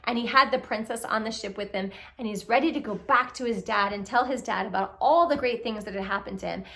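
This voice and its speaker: A woman telling a children's puppet story in an expressive way, speaking directly to young listeners with questions and exclamations. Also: on the high side, fairly quick, creaky. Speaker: storyteller, puppet show reader.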